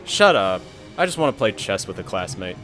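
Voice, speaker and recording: A man's voice: deep voice, very animated, brisk, over music. A young man playing an awkward, sarcastic, reclusive gamer in an amateur romantic comedy audio drama. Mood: defensive. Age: young adult.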